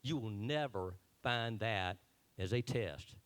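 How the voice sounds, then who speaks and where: A man's voice: on the low side, takes it slowly, a bit bright. A man introducing a guest at a town hall, earnest and a bit unpolished on stage. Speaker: event organizer introducing the speaker. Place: meeting hall.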